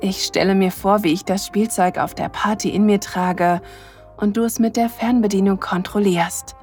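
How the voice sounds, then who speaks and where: Audio drama lead, played by a woman: mid-pitched, tender and sensual, eager lover telling the story intimately, light music underneath. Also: fairly even intonation, moderate pace, darkish tone, lightly acted. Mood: aroused, eager. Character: affectionate, eager woman in love with her partner. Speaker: narrator and lead character. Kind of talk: intimate second-person audio drama narration.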